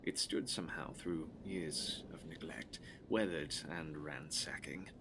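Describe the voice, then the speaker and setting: A man narrating a gothic audio drama from a script in a solemn, measured, dramatic way. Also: extremely low pitch, gravelly, background noise.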